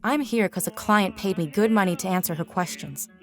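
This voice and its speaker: A woman's voice: medium pitch, fairly quick, faint music behind, natural voice. An actress playing a hard-drinking, wisecracking, world-weary female private eye in a noir detective audio drama. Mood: defiant, wry. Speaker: lead actor in a science fiction noir audio drama.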